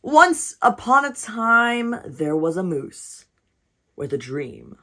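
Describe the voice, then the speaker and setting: A woman reading a picture book aloud as storytime host, expressive and encouraging, with rhetorical questions and asides. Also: on the high side, takes it slowly.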